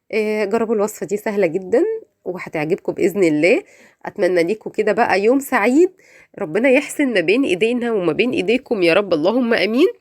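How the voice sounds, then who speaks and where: A woman's voice: medium pitch, darkish tone. A woman with an Egyptian Arabic accent giving a chatty, effusive cooking voice-over, her voice slightly off from a cold. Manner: effusive, repeats words for emphasis, addresses viewers directly. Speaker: home cook hosting a recipe channel.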